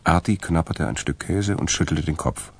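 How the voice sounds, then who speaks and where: Very deep gravelly male narrator. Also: normal speed. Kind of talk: scripted narration of a crime radio play.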